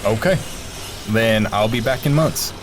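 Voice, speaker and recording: An actor playing a firm, by-the-book building inspector, with engine noise behind. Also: male voice, on the low side, a little slow, close to an everyday voice. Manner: firm, unbending.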